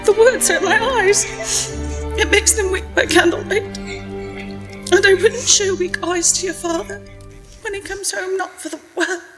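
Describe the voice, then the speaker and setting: A woman plays a poor Victorian mother in a radio drama, gentle and holding back grief. Her voice is extremely high and tender. It carries through a reverberant hall with music clearly behind it. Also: steady tone, unhurried, long pauses. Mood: sorrowful, restrained. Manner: tender, sorrowful, restrained. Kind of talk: live radio-play acting of Victorian story.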